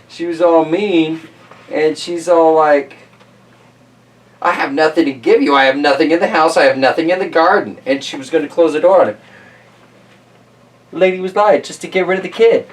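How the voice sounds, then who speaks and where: A man reading a storybook aloud in an expressive, informal and chatty way. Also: on the high side, distant mic. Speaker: storybook reader and host. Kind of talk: storybook narration with casual asides.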